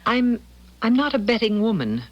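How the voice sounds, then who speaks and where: Tart, animated small-town wife. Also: female voice, on the high side, normal speed, muffled recording. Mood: defiant. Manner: tart, curt, stubborn. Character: proud, blunt, suspicious small-town doctor's wife. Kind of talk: 1970s radio drama acting.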